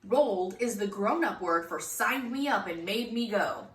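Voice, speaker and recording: A woman's voice: mid-range voice, lively intonation, moderate pace. A woman reading a children's book aloud expressively, with emphatic delivery aimed directly at young listeners. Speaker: storybook reader for children. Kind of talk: expressive read-aloud narration. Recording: echoey room, distant mic, faint noise.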